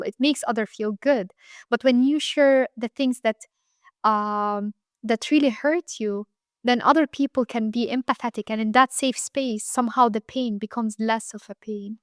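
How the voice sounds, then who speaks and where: A young woman from Lebanon speaks as an interview guest, earnest and reflective, often filling pauses with "um" and "like." Her voice sits a little high, and the recording is dry and close with no room sound. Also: no echo. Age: 20s. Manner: earnest, frequent fillers like "um" and "like".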